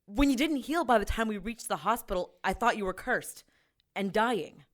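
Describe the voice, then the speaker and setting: A woman's voice: medium pitch, lively intonation, moderate pace, lightly acted. A woman playing a tough, exasperated ex-cop in an audio drama, with a blunt, sardonic manner. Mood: worried, guarded. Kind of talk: naturalistic audio drama acting.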